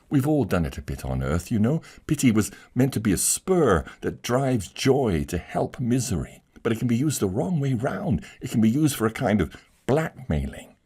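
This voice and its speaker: A man voicing an imploring gentle woman. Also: mid-range voice, slightly rough, pitched above the performer's own voice, exaggerated voice. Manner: earnest, imploring. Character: pleading gentle woman, urgent and loving.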